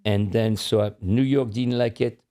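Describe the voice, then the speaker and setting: Older french guy on a podcast, low warm voice, blunt, stumbles and repeats words. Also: steady tone, normal speed. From France. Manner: frank, repeats words while searching for English. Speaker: podcast co-host. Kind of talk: casual chat.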